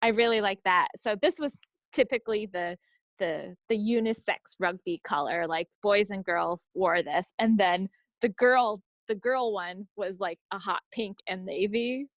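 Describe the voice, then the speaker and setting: A woman's voice: mid-range voice, measured pace, no room sound. A woman co-hosting a knitting podcast, chatting informally and off the cuff. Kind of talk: casual, unscripted craft chat.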